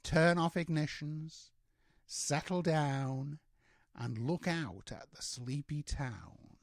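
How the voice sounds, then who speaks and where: An older man, a grandfather, reading a rhyming children's storybook aloud in a measured, expressive way with drawn-out words. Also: on the high side, no room sound, studio quality.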